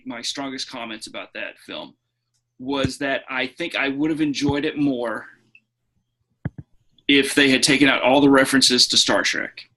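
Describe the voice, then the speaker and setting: Bright-voiced man arguing his opinions. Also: medium pitch, poor audio. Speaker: podcast co-host. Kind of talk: casual opinionated podcast chat.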